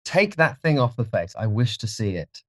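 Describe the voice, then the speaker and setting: A man voicing an anxious, imperious young gentleman with an intense manner, for an audiobook. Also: fairly low pitch, lively intonation, moderate pace, dry recording, voice pushed up, natural voice. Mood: commanding. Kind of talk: audiobook character voice.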